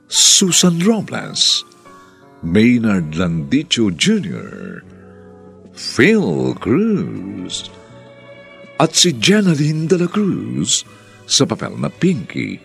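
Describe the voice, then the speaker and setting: A man's voice: mid-range voice, huge pitch swings, very slow, gravelly, long pauses, a bit bright, soft background music. A man narrating and announcing a radio drama in a formal, theatrical scripted style.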